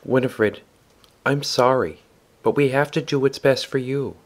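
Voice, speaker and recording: Man acting a gentle, serious father. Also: medium pitch, a little slow, lightly acted. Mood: grave, apologetic. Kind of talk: audio drama acting.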